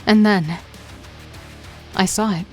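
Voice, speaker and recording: A woman giving steady first-person narration for an audio drama. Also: medium pitch, slow pace, soft background music. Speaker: narrator and lead voice actor.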